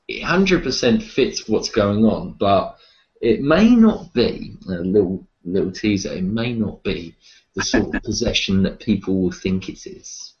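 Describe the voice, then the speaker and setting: Low-voiced man in a muffled interview. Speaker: interview guest, horror writer and publisher. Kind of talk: casual interview talk about writing.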